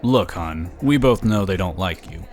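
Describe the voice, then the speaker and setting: An actor playing a slick, smug lobbyist in a sci-fi audio drama, chatty and condescending. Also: male voice, low-pitched, expressive, normal speed, darkish tone, faint music behind. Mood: confiding, scheming. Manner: chatty, smooth-talking, condescending. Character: smug, slick, scheming male political lobbyist.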